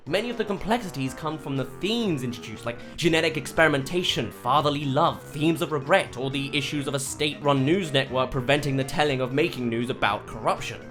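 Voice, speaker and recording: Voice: medium pitch, a bit bright. A reviewer narrating a scripted video essay, stressing key words emphatically and drawing them out. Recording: music behind the voice. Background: background music.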